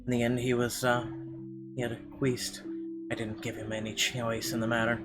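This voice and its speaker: A man's voice: medium pitch, monotone, normal speed. A man hosting a gaming video, reading visual novel narration aloud with conversational asides. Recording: game music under the voice.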